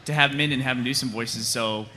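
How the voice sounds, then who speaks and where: A man's voice: mid-range voice, steady tone, fast talker, sharp tone, slight background noise. A man talking off the cuff and jokingly on a live convention panel, answering audience questions as a writer. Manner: joking, self-deprecating.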